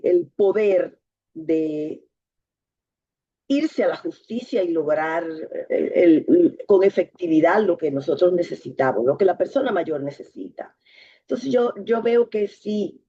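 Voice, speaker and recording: A woman's voice: medium pitch, warm tone, slightly rough. A woman from the Republic, speaking up earnestly in an online seminar, with many hesitations and restarts. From Dominican Republic. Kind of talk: spontaneous spoken contribution. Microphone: video call. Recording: dull, lo-fi video call audio.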